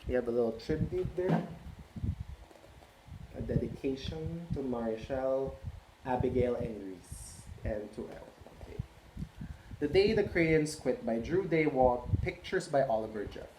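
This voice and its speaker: A reader talking gently to children, reading a picture book aloud and asking them questions. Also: medium pitch, animated, unhurried. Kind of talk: read-aloud for kids. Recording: roomy echo with faint noise.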